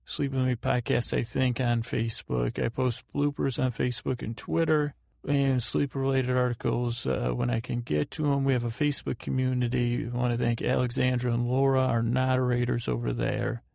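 A man hosting a sleep podcast, rambling through housekeeping in a halting way and often correcting himself. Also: medium pitch, flat delivery, vocal fry, a little mellow, no room sound, studio quality. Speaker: podcast host.

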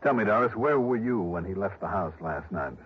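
An actor playing a dry, probing insurance investigator, terse and wry, in a 1960s radio drama. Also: male voice, lowish voice, lively intonation, fairly quick, husky. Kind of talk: 1960s radio drama acting with scripted narration. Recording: dull vintage radio recording, music behind.

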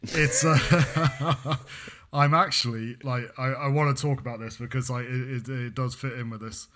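A man's voice: mid-range voice, fairly even intonation, a little slow, sharp tone. A young man from England with an English accent, co-hosting a casual podcast chat and rambling through many restarts. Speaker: podcast co-host.

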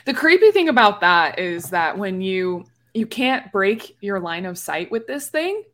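A woman's voice: medium pitch, very animated, unhurried, fairly bright tone. A woman in a casual podcast conversation, talking very informally with lots of "like.". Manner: informal, full of "like".

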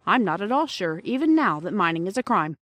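A woman voicing a proud, earnest young schoolboy in a storybook, defiant and quick to justify himself. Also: mid-range voice, very animated, a bit bright, no room sound. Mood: sulky, stubborn.

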